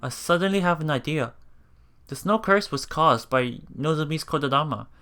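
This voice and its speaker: A man's voice: a little high, expressive, moderate pace, bright, forceful tone, faint music behind. A man narrating a visual novel aloud for a let's play, reading expressively and earnestly. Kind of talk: dramatic reading of visual novel text with live reactions.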